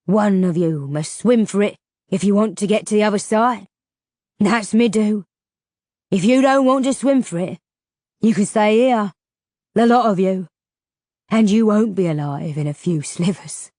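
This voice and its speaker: A woman voicing a menacing scaly male ferryman who croaks and speaks slowly and threateningly in a throaty rumble. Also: warm tone, no echo, studio quality, close to an everyday voice. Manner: slow, drawn-out and threatening. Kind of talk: audiobook character voice.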